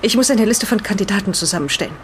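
A careful, courteous lady acted by a woman with a medium-pitched voice. She speaks in measured phrases, sometimes halting over words, in an improvised audio drama. A light vehicle noise sits behind her. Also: expressive, natural voice. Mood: careful, studious. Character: polite, careful woman reading an explorer's old diary. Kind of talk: improvised in-character audio play with diary reading.

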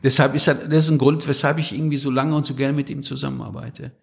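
A man's voice: mid-range voice, steady tone, quick pace, vocal fry. A man from Westphalia speaking as an interview guest in a casual, blunt, colloquial way. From Westphalia, Germany. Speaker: podcast guest, book publisher.

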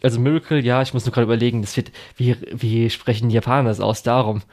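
A man's voice: medium pitch, steady tone, quick pace, a little mellow. A man co-hosting a chatty podcast, rambling with many fillers and restarts. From Germany. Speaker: podcast co-host. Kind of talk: casual chat.

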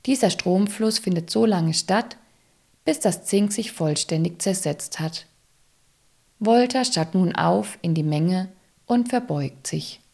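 A woman's voice: on the low side, slow, gravelly, a little mellow, full character voice. A woman reading scripted, explanatory narration for an educational audio drama.

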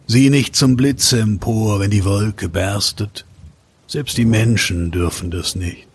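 A man's voice: on the low side, takes it slowly, a little hiss or hum. A man voicing a venerable old willow tree in a fairy-tale audio play, solemn and cautioning. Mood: solemn warning. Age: older. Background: rain and thunder.

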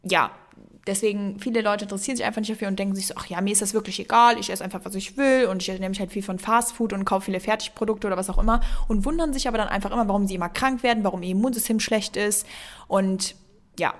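Young woman, podcast co-host, casual chat, lots of ums and restarts, mid-pitched voice. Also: quick pace. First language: German. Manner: chatty, many fillers and restarts, mixes in English.

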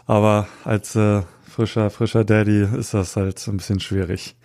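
Gravelly-voiced man chatting hesitantly. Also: on the low side, level tone, normal speed, darkish tone. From Hamburg, Germany. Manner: hesitant, many fillers, self-deprecating. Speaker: podcast guest, street photographer. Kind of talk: casual interview chat.

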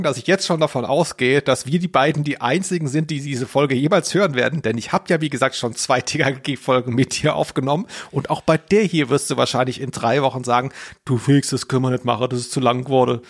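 A man's voice: medium pitch, fast. A man co-hosting a podcast, talking casually in a jokey, tongue-in-cheek way. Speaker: podcast host.

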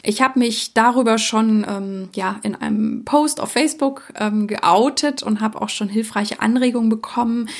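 Woman, podcast host, gravelly fry, slightly high voice, reflective, chatty, bilingual upbringing. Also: moderate pace, few pauses. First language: Czech-speaking parents, grew up bilingual. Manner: reflective, addresses listener directly, frequent fillers. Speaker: podcast host, soprano singer. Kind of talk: casual solo podcast monologue.

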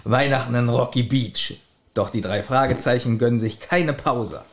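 A man's voice: medium pitch, normal speed. A man co-hosting a podcast, talking casually among friends and sometimes reading aloud. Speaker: podcast co-host.

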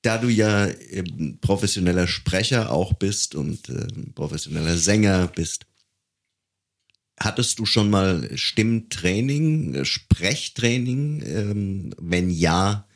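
A man hosting a casual interview podcast, putting his questions with hesitant pauses. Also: deep voice, lively intonation.

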